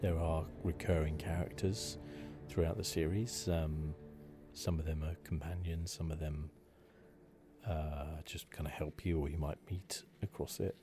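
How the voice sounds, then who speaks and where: Very deep-voiced man, relaxed ASMR game commentary. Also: unhurried, over music. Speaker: gaming video host.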